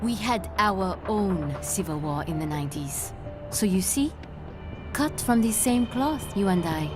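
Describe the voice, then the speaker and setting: An actress playing a seductive, menacing mercenary killer in a video game, with a languid, taunting, theatrical delivery. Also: female voice, mid-range voice, animated, a little slow, close to an everyday voice. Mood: proud, reflective. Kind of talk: video game cutscene acting. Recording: music behind the dialogue.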